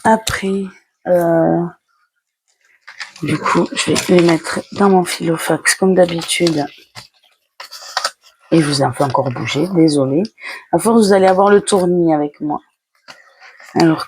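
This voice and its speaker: Casting: woman, budgeting vlogger. Unhurried, halting step-by-step delivery with some vocal fry, light background noise. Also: deep voice. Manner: talks herself through each step in short, halting phrases. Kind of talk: casual step-by-step narration while handling cash envelopes.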